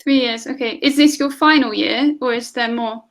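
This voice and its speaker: High-pitched woman tutoring on a call. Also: normal speed. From England. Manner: asks lots of questions, laughs often. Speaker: online English tutor. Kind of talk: casual conversation lesson. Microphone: video call.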